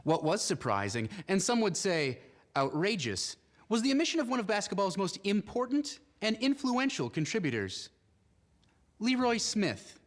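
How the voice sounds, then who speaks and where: A man playing an earnest newsmagazine reporter in a spoof, narrating formally and with a straight face. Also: sits high. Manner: formal, measured, deadpan. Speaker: reporter and interviewer. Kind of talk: scripted news narration.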